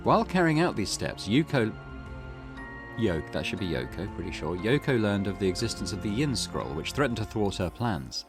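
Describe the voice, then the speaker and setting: A man's voice: fairly low pitch, very animated, moderate pace. A man hosting a gaming channel, reading a visual novel's text aloud and adding casual asides. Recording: game music playing behind.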